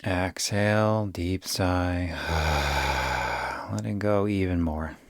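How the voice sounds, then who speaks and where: Slow, low-voiced man guiding meditation. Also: fairly even intonation, gravelly, dry recording. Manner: soothing, unhurried, gentle instruction. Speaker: yoga nidra guide. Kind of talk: guided meditation.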